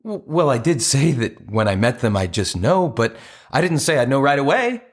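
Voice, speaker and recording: Casting: hopeful, awkward romantic man for comedic audio play; lively intonation, polite and persistent. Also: mid-range voice, normal speed. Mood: nervous, earnest. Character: earnest, awkward, hopeful man searching for his soulmate.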